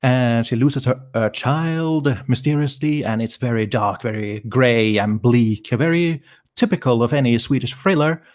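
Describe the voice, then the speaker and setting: A man's voice: mid-range voice, unhurried, darkish tone. A man, a guest writer on a video call, rambling and thinking aloud with fillers. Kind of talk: casual livestream chat.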